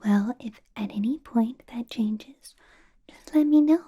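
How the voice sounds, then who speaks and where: A woman playing a possessive, doting vampire boss in an ASMR roleplay, in a breathy whisper with a deliberate, coaxing, playfully menacing manner. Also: a little high, dark tone. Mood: coy, secretive. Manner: slow, deliberate, coaxing, teasing.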